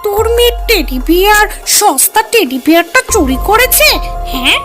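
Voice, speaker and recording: Shrill, high-pitched scolding cartoon neighbour. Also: female voice, very animated, moderate pace. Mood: angry, scornful. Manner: indignant, scornful, scolding. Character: haughty, spiteful mother animal, scolding neighbour. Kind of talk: children's cartoon dubbing. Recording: jingly music behind.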